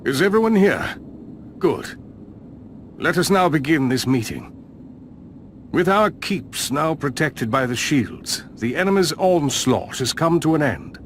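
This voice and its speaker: Stern elven priest, noisy background. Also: male voice, a little high, animated, measured pace, pauses often. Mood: solemn. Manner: formal, haughty, suspicious. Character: stern, proud old elf priest, male. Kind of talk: fantasy game cutscene acting.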